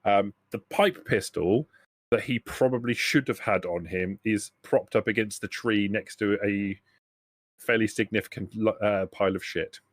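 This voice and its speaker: Man, game master, improvised scene narration, halting and rephrasing, dry close recording. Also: fairly low pitch, no echo.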